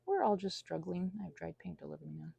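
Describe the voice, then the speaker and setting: Woman, casual solo rambler, wandering and self-deprecating, swooping pitch, recorded close and dry. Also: expressive, fast, vocal fry, warm tone, slightly rough, no echo, lo-fi sound. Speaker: audio creator rambling to listeners.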